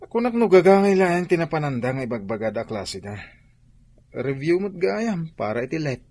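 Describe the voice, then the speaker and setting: A bossy, protective employer with a short fuse. His husky voice moves widely in pitch, carrying an Ilocano accent in radio drama style. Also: very animated, a little fast, a little mellow. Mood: dismissive. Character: bossy male cousin, protective, testy employer.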